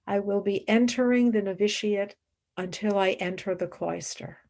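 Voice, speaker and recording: A woman playing a devout, resolute woman set on becoming a nun, in a radio play with measured, solemn lines. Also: mid-range voice, a little mellow, lightly acted. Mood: calm, firm.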